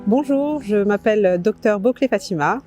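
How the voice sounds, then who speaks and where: A woman's voice: medium pitch, lively intonation, moderate pace, mellow, soft background music. A middle-aged woman, a general practitioner, speaking earnestly with some hesitation in an interview.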